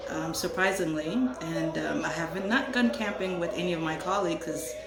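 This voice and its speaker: Low-ish voiced young woman chatting, laughs a lot, room echo and music behind her. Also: steady tone, moderate pace, creaky. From Haiti, raised in Florida. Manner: talkative, self-deprecating, laughing. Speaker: co-host. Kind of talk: casual chat.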